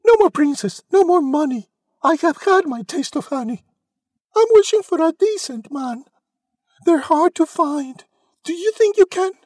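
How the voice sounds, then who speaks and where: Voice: very high-pitched, lively intonation, unhurried, darkish tone, no echo, pitched above the performer's own voice. A narrator voicing a demanding, dramatic young Cinderella with theatrical, exclamatory rhymed lines in a storybook tale. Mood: earnest, wishful.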